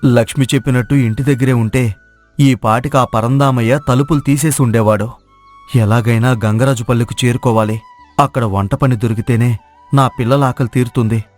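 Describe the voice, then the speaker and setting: Male actor as honest village cook, mid-pitched, earnest, plain-spoken, storybook drama, faint music. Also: fairly even intonation, fairly quick, close to an everyday voice. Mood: weary, determined. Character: humble, kind-hearted village cook, honest family man. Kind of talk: moral story voice acting. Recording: dry studio voice over music.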